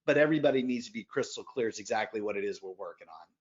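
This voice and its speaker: A man speaking as a podcast guest in animated conversation, emphatic, with loud bursts and quieter asides. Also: fairly low pitch, rapid-fire, dull audio.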